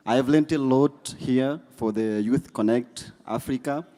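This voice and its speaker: A man with a Tanzanian accent addresses a gathering as a youth delegate. His voice is soft-edged and mellow, at a middling pitch. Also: a little slow. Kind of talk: short public remarks. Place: large indoor conference hall.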